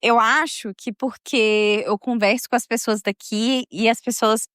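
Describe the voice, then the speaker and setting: A woman's voice: fairly high pitch, fairly even intonation, measured pace, fairly bright tone, no room sound, studio quality. A woman from Minas Gerais with a Mineiro accent, hosting a podcast and telling a real-life story in a casual, chatty way, laughing often and talking straight to her listeners. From Minas Gerais, Brazil.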